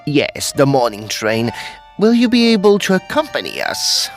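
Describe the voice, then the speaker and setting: A man playing a shrewd, eccentric Edwardian occult detective in an audio drama, creaky-voiced, deliberate and theatrical. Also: medium pitch, huge pitch swings, soft background music. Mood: musing. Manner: deliberate, theatrical, musing then exclaiming.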